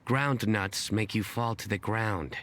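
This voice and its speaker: Flat, low-voiced young game adventurer, dry punster. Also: male voice, slow pace. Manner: deadpan, dry. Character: deadpan young male adventurer fond of puns. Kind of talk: game voice acting.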